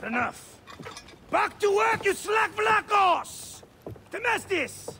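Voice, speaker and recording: An actor playing a roguish, jovial mercenary ship captain of antiquity in a video game, swaggering and barking. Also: male voice, very high voice, very animated, takes it slowly, heavily acted. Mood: bossy, amused. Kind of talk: theatrical video game acting. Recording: noisy, with boat sounds behind.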